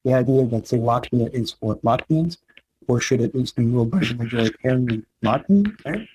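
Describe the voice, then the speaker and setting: A man answering interview questions about history, measured and explanatory, often checking in with "right?". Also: mid-range voice, warm tone, slightly rough, poor audio. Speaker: historian guest.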